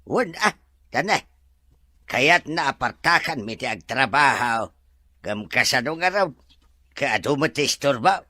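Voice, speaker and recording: A man playing a husky, flustered Filipino driver. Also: medium pitch, expressive, unhurried, long pauses, sharp tone, dry recording. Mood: earnest, chatty. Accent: Filipino, Ilocano. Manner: stammering, giggly, full of interjections. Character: humble middle-aged male driver, teasing, flustered, servile. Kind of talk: Ilocano radio-style drama acting.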